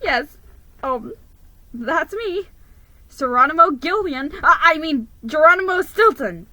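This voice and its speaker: A woman as an excitable, anxious male storybook mouse. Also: high voice, takes it slowly, no room sound, pitched above the performer's own voice, exaggerated voice. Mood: nervous, stammering. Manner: stammering, exclamatory.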